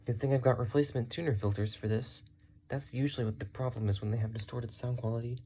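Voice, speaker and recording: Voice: mid-range voice, steady tone, fast talker, mellow. Casual hobbyist musing aloud, some background noise. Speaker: radio collector presenting a thrift find. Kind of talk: casual hands-on narration while working.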